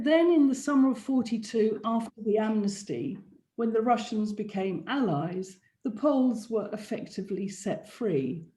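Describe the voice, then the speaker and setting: A middle-aged woman from England whose first language is Polish gives a measured lecture. Her voice sits a little high yet stays mellow and relaxed. The sound is noticeably echoey, as in a reverberant room. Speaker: architect giving a public lecture. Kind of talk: prepared lecture with slides.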